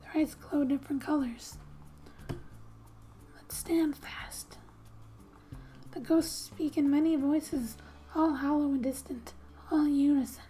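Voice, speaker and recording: A woman narrating a game in soft-spoken ASMR style, often dropping into a breathy whisper, reading gently like a storybook with quiet asides. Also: high-pitched, steady tone, slow pace, long pauses, faint music behind. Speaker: gaming ASMR video host.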